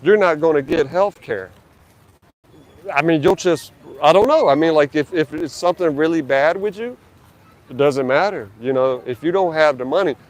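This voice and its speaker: A man's voice: on the high side, normal speed. A man live-streaming on a city street, talking loosely with lots of fillers and restarts into a handheld mic. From United States. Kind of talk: casual live-stream chat.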